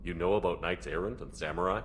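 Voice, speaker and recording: A male actor as a steady military commander in a video game, direct and inquisitive. His voice is deep and his delivery quick, with faint background noise. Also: close to an everyday voice. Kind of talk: video game dialogue acting.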